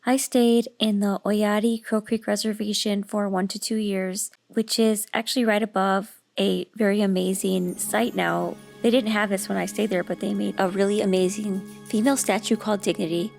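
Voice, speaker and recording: A woman narrating a vlog in an earnest, steady way, her voice raspy and hoarse from losing it. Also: mid-range voice, moderate pace, few pauses, soft background music. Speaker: video host.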